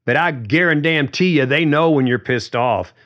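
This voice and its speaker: A man's voice: mid-range voice, lively intonation, a little slow, pitched above the performer's own voice. A man voicing a blunt, carefree male bandmate who drinks and swears freely, in a needling, riled-up way. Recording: dull lo-fi recording.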